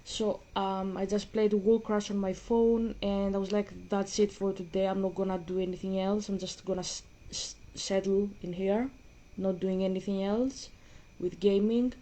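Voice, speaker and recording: A young woman, chatting casually and rambling with many pauses and fillers while playing a game. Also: mid-range voice, flat delivery, warm tone. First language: Greek. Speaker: gaming vlogger. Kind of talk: casual gaming chat.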